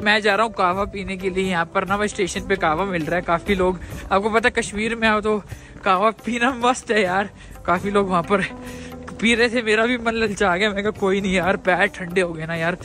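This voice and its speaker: A young man filming a travel vlog outdoors on a snowy train platform and inside a train, chatting excitedly with his viewers. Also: high-pitched. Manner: excited, chatty, keeps calling viewers brother. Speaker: travel vlogger. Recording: background music mixed under the voice. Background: music.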